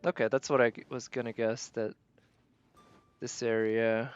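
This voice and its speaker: A man's voice: medium pitch, little pitch movement, a little slow, dull audio, faint music behind. A man live streaming a video game, chatting casually with viewers and musing aloud as he plays. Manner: thinks aloud, tentative and musing.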